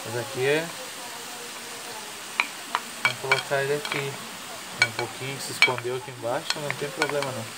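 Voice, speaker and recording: A man with a southern Brazilian accent presents a home recipe in a casual, joking way. He explains things in colloquial speech. Frying and stirring sizzle clearly behind him in the kitchen. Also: mid-range voice, steady tone, a little fast, long pauses, very noisy. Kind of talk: casual cooking chat.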